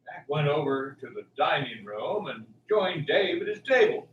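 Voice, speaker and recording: A man's voice: on the high side, very animated, moderate pace, fairly bright tone, highs cut off. A man narrating a radio drama in a scripted storytelling style. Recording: roomy, distant, faint noise.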